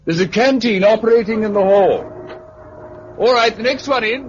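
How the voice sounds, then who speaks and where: Brisk high-voiced British chairman, played by a man. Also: lightly acted. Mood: brisk, hearty. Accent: British English. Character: brisk, rallying male committee chairman, courteous leader of survivors. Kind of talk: 1950s British radio drama acting. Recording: dull, hissy 1950s radio recording.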